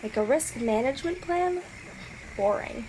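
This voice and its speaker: A woman's voice: sits high, expressive, unhurried, darkish tone. An actress playing an earnest, rule-abiding young girl scout chattering dutifully to herself in a radio audio drama. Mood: eager, dutiful. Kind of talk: scripted audio drama monologue. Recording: noisy radio transmission.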